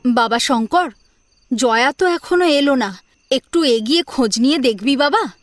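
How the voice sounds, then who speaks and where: Casting: weary middle-aged matriarch, acted by a woman, high-pitched, restrained and curt, for an audio serial. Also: expressive, moderate pace, no room sound. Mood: worried. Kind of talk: audio drama acting.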